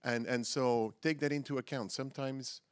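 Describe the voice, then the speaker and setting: A man with a Dutch accent, a native Dutch speaker, talking haltingly on a conference panel with a handheld microphone. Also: medium pitch, fairly even intonation, clear, resonant tone, no echo. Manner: halting, searching for English words. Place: conference room stage.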